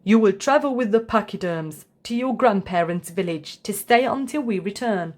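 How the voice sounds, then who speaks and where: A woman's voice: mid-range voice, animated, normal speed, darkish tone, natural voice. A woman voicing a grown male giraffe in a storybook, a firm father laying down decisions. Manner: firm, explaining.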